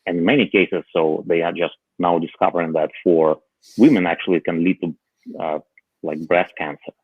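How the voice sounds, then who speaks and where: Man on a tinny line, low and halting. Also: steady tone, breathy edge, no echo. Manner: halting, many fillers and restarts. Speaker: podcast panelist. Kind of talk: casual tech chat.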